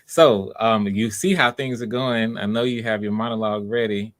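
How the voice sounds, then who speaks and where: Male audition host, low voice, casual and encouraging, repeats himself. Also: normal speed. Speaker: casting director hosting live voice auditions. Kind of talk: casual live audition chat.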